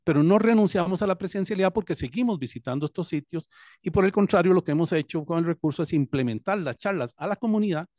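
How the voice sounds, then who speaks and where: A man's voice: high-pitched, animated, fast talker, darkish tone, no room sound, studio quality. A man from Costa Rica with a Costa Rican accent, who directs a public defense office, explaining his work earnestly with frequent hesitations. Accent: Costa Rican Spanish. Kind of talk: seminar audience comment.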